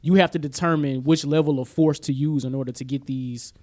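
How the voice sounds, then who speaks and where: A man co-hosting a podcast in casual chat, halting and questioning as he thinks aloud. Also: fairly high pitch, steady tone, a little mellow. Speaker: podcast co-host.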